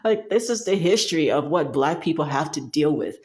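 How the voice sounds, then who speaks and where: Young woman from cameroon going off on a rant to camera, low voice, lots of restarts. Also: a little fast. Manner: animated and emphatic, with frequent restarts and laughs. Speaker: software developer talking to camera. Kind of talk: casual monologue.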